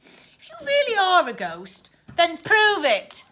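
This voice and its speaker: A haughty little upper-class English girl from a storybook, as a woman reader puts her on. Her voice is high and sharp, with big swoops in pitch. She sounds prim, defiant and easily affronted. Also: wildly swooping pitch, slow, heavily acted. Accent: posh upper-class English. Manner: prim, indignant. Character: posh, haughty young schoolgirl, indignant and defiant. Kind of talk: children's storybook read aloud.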